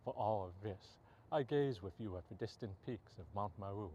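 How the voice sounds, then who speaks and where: A man reading poetry aloud as a poet, in measured, deliberate short phrases. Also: on the low side, warm tone, slight background noise. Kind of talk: poetry reading, recited free verse.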